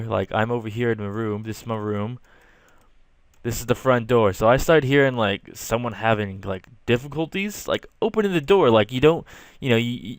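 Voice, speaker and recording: Young adult male storyteller, casual and slangy, smooth clear voice, dry recording. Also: fairly low pitch, no echo. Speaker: storytelling video creator. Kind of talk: casual storytelling over rough drawings.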